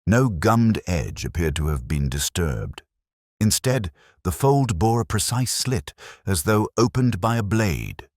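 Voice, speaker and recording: A man narrating a scripted mystery story in a measured, formal way. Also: low-pitched, very animated, darkish tone, dry recording, clean studio sound.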